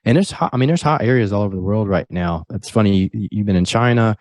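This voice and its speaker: Deep, mellow-voiced earnest male guest. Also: fast talker, dry recording, clean studio sound. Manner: earnest, motivational, steady. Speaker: podcast guest, real estate broker. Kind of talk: casual interview chat.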